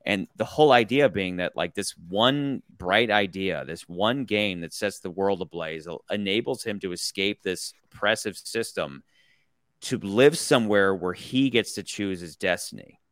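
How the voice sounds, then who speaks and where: An American man holds forth in a casual movie podcast with a bright, forceful tone and a smooth, crisp voice. he rambles, swears freely and keeps circling back to restart his points, all close to the mic in a dry room. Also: sits low, expressive, moderate pace, no echo. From United States. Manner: rambling, opinionated, profane, frequent restarts. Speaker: podcast host. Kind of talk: casual movie review chat.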